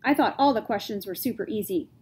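A woman voicing a cocky schoolboy who talks loud and boastful. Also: medium pitch, animated, fast talker, husky. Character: cocky tall schoolboy showing off.

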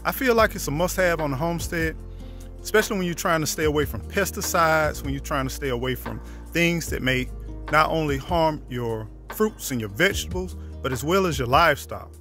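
A man's voice: on the high side, brisk, faint music behind. A man, casually vlogging about his homestead outdoors, filmed on a handheld camera at arm's length. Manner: relaxed, conversational, drops to near whisper at times. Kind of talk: casual walking vlog. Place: outdoors on a wooded rural homestead.

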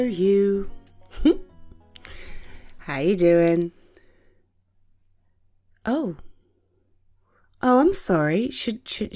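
A British woman playing a gentle, caring friend in a comfort audio roleplay, soothing and reassuring with hesitant pauses. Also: mid-range voice, no echo, clean studio sound. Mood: concerned, tentative.

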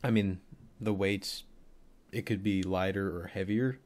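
A young man with a cold and a voice deeper than usual, casually rambling through a football film breakdown with plenty of fillers. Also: steady tone, a little slow, darkish tone. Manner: rambling, offhand, thinks aloud with many fillers.

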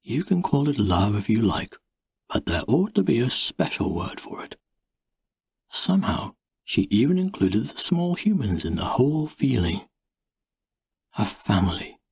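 A man reading a storybook as narrator, in an expressive and measured storytelling manner. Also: fairly low pitch, gravelly, husky, no echo. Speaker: audiobook narrator. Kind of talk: scripted storybook narration, first-person tale.

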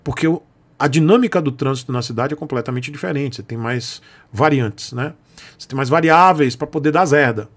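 A man's voice: mid-range voice, brisk. A Brazilian man around his forties chatting casually to camera, blunt and emphatic, with colloquial, repeated short phrases. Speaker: YouTube host answering viewers' questions. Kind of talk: casual advice chat to camera. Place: small home room, indoors.

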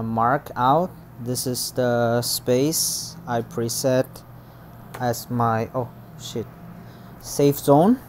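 Halting man talking at an unhurried pace. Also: medium pitch, darkish tone, a little hiss or hum. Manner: halting, searching for words. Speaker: vlogger. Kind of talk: casual unboxing and first-use demonstration. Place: room at home.

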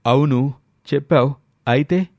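A curious, easily astonished older pal, played by a man in an audio moral story. He banters and exclaims in a medium-pitched voice with a dry, close sound. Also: measured pace, creaky, no room sound. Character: curious, surprised older male friend of the grandfather.

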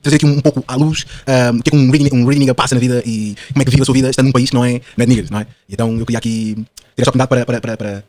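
A man in his twenties with a European Portuguese accent, talking as a podcast guest in an animated, slangy way with lots of fillers and tag questions. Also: medium pitch, rapid-fire, dry recording. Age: 20s. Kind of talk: casual podcast conversation.

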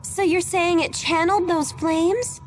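Game casting: perky teen girl, high-pitched, earnest but unsure of herself, music underneath. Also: measured pace. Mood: animated. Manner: expressive, plain-spoken, girlish. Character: perky, earnest, self-doubting teenage girl. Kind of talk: English video game voice acting.

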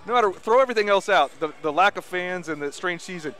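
A man's voice: high-pitched, lively intonation, fast, a bit bright, faint music behind. A man interviewing a coach after a game, asking long, rambling questions. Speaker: broadcast interviewer.

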